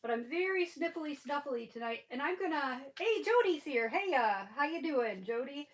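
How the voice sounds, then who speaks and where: A woman's voice: sits high, expressive, moderate pace. A woman hosting a casual crafting livestream from home, chatting and rambling with a stuffy, sniffly head cold. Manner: chatty, rambling, laughs often.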